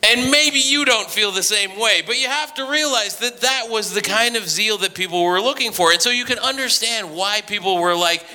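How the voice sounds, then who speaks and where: A man's voice: high-pitched, normal speed, few pauses, pushed and bright. A man preaching a sermon in a conversational, storytelling way, often restarting his sentences. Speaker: pastor. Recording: reverberant hall.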